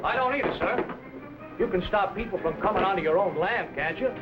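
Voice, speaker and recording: A young, protective hired hand with a notably high voice and a quick temper. The old drama recording is muffled, with loud music behind him. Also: male voice, expressive. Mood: loyal, earnest. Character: loyal, protective, hot-headed young hired man. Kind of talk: 1950s adventure drama acting.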